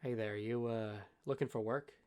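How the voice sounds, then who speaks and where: A man's voice: medium pitch, steady tone, moderate pace, darkish tone, smooth, close to an everyday voice. Man playing a sly, easygoing devilish outcast. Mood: inviting. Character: red tiefling man, idle, sly, friendly outcast. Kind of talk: tabletop roleplay.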